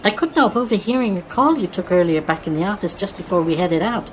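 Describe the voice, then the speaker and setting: A woman's voice: medium pitch, lively intonation, fast talker, a little hiss or hum, close to an everyday voice, low-quality recording. A woman acting a probing, flirty work colleague in an audio drama, direct, concerned and teasing.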